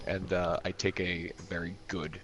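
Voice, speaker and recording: A man chatting casually as a player in a tabletop role-playing game. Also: low-pitched.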